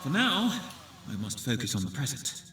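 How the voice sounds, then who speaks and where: A scheming villain inventor, acted by a man monologues in a cartoon comic dub. The voice is sharp and theatrical, sweeping up and down with formal grandeur over faint music. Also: mid-range voice, wildly swooping pitch, normal speed. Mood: brooding, determined.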